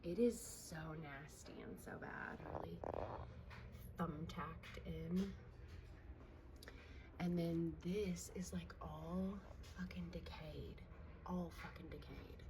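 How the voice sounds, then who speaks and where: A woman in her thirties giving a casual handheld video tour at home, hushed and often whispering, exasperated and sweary. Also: on the low side, slow, long pauses. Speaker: vlogger. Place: indoors at home, in a kitchen. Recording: noisy handheld clip.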